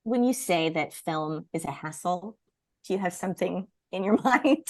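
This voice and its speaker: A woman's voice: fairly low pitch, animated, moderate pace, darkish tone, dry recording. A woman co-hosting a conversational interview and putting questions to a guest. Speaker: interviewer, co-host.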